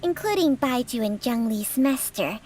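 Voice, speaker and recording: An actress playing a small talking snake in a video game, inquisitive, blunt and childlike, with a pert delivery. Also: female voice, fairly high pitch, lively intonation, moderate pace, a little mellow, slight background noise, close to an everyday voice. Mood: anxious, questioning. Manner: pert, piping, questioning. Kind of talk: video game creature voice acting.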